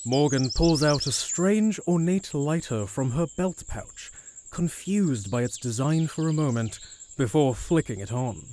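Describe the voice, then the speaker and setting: Male narrator, mid-pitched voice, scripted story narration, audio drama, faint background noise.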